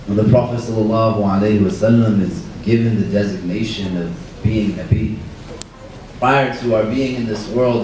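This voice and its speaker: A man giving a reflective religious talk as an imam, hoarse from illness, quoting Arabic scripture in a measured way. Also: medium pitch, mellow. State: hoarse, losing his voice. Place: banquet hall. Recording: roomy far-off hall recording with faint noise.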